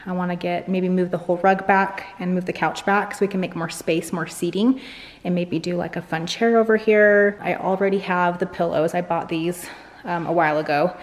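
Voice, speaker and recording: Chatty American woman vlogger, lowish voice. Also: steady tone, normal speed. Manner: chatty, informal, thinking aloud. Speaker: family vlogger. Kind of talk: casual chat to viewers.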